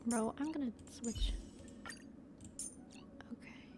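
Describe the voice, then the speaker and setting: A woman's voice: on the high side, slow, whispered. A woman streamer giving casual gameplay commentary and reading game choices aloud. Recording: clear game music behind.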